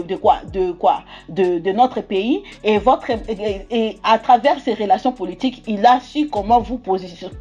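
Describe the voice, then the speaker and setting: A woman's voice: on the high side, dark tone. A young adult woman from Congo giving opinionated gossip commentary, often stalling on fillers. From Democratic Republic of the Congo. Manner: opinionated, repeats filler words like "quoi" and "tout et tout". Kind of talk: casual gossip commentary to camera.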